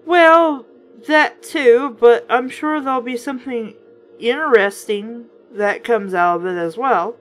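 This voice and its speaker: A woman with a fairly high voice reads a children's book aloud with some music behind her. She is informal and chatty, dropping in wry, skeptical asides as host and reviewer. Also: measured pace, rough recording. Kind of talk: casual read-aloud with offhand commentary.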